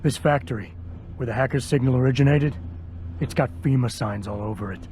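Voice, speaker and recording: An actor playing a stoic, gruff special agent in a game, speaking in a clipped, deadpan way. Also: male voice, mid-range voice, brisk, slight background noise, close to an everyday voice. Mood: grim, urgent.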